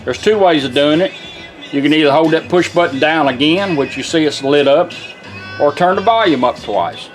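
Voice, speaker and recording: Give a man with a slightly high voice, talking in a folksy, plain-spoken way as he demonstrates a product. His delivery is casual and hands-on, with some music playing behind him. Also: moderate pace.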